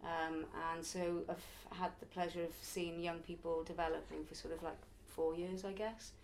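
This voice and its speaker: A woman's voice: lowish voice, flat delivery, moderate pace, slight background noise, poor audio. Chatty woman in her early forties. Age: early 40s. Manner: chatty, reflective, laughs now and then. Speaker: circus performer and youth circus teacher. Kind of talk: casual interview answers.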